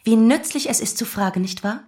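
A woman's voice: fairly high pitch, a little fast, mellow, lightly acted. An actress in a German radio drama playing a sardonic lady's companion of about thirty who feigns meek ignorance and asks insinuating questions. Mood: veiled sarcasm. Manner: insinuating, mock-innocent questioning.

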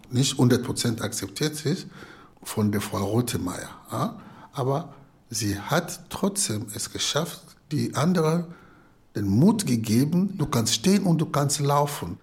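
A man retelling a story in unscripted, reflective speech, with an encouraging manner and deliberate repetition. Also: mid-range voice, expressive, darkish tone. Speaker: interviewee retelling a film story.

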